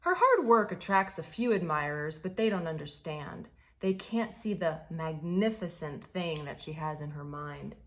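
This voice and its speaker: A woman reading a children's picture book aloud in an expressive, deliberate way, stressing key words. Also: sits low.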